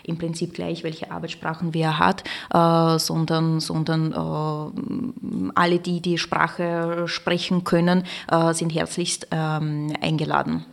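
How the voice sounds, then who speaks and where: A woman with a Russian accent co-hosting a podcast, speaking haltingly with many filler sounds. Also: deep voice, little pitch movement, creaky. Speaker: podcast co-host, translation student. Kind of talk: hesitant podcast talk.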